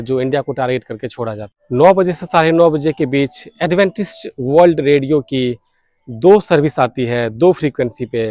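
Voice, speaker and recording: A man's voice: mid-range voice, darkish tone, dry recording. Man explaining in a dry, close recording. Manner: explanatory, mixes in English technical terms. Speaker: radio hobbyist vlogger, host. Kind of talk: informal video explainer, listing details.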